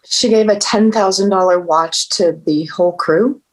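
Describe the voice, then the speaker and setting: An actress playing a no-nonsense police detective with a wry, matter-of-fact manner in a scripted table read. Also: female voice, medium pitch, normal speed, close to an everyday voice, low-quality recording. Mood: wry, coaxing. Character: no-nonsense, dryly coaxing female police detective.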